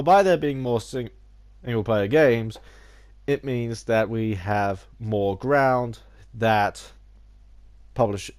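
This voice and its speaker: Gaming YouTuber with a head cold, a little nasal, stumbling and restarting sentences, mid-pitched. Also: male voice. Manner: rambling, many restarts and stumbles; theatrical opening greeting. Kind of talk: casual video essay.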